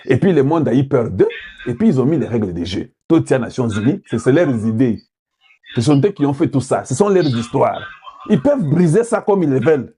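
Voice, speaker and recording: A man's voice: medium pitch, very animated, a little fast, warm tone, slight background noise. A man from Congo giving political commentary, putting questions to his viewers and switching into Lingala at times. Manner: rhetorical, asks the audience questions, mixes in Lingala.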